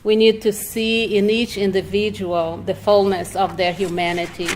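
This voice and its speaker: A woman from Brazil, speaking in a measured, earnest way as a reflective panelist at an indoor public event. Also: medium pitch, little pitch movement, a little mellow. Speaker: panel speaker, state health agency worker. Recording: clearly noisy room ambience.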